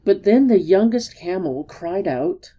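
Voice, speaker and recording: A woman's voice: medium pitch, lively intonation, measured pace, warm tone, slightly rough, lo-fi sound. A woman storyteller giving a dramatic reading of a story.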